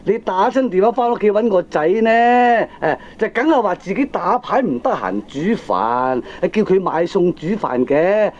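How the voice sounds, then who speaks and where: Feckless hong kong cantonese husband, defensive, excitable, voice all over the place, dull old cassette drama sound. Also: male voice, sits high, moderate pace, few pauses. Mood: accusing. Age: middle-aged. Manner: blustering, full of exasperated interjections. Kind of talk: 1980s Hong Kong comedy radio drama acting.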